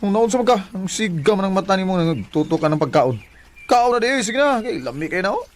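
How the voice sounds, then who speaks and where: An actor with a Cebuano Filipino accent playing a friendly, easygoing, teasing co-worker in a Bisaya radio drama. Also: male voice, high-pitched, animated, brisk. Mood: friendly, consoling.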